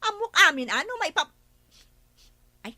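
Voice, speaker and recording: A woman playing an excitable young village helper who raises alarms, in an Ilocano Filipino accent for a radio comedy drama. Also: extremely high pitch, moderate pace, no room sound, full character voice. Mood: chatty.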